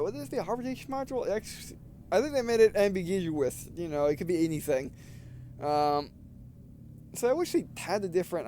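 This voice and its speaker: A man in his twenties giving casual, halting let's play commentary, often repeating phrases as he goes. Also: a little high, mellow. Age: 20s. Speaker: gaming video host. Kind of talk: casual let's play commentary, reading game text aloud.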